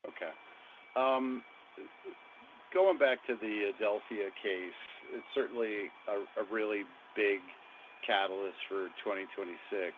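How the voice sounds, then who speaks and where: A man calling in as a financial analyst, asking questions on an earnings call with hesitant pauses mid-question. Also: fairly low pitch. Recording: tinny phone line with faint noise.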